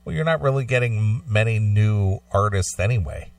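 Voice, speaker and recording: A man co-hosting a casual talk show, speaking in a measured, agreeable way. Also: lowish voice, no echo, distant mic. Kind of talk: casual radio discussion, reading and commenting.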